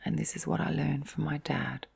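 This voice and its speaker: A middle-aged woman in a soft, whispery, breathy voice, giving a gentle, reflective bedtime chat in an intimate ASMR-like style. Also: deep voice, steady tone, normal speed. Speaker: host of relaxation and sleep channel.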